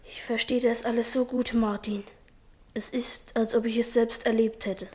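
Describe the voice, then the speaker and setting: A woman's voice: a little high, little pitch movement, moderate pace, natural voice. A woman playing an earnest, musing schoolboy. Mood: confiding. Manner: earnest, soft-spoken, musing. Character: thoughtful schoolboy dreaming of a better future. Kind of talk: 1950s radio play acting.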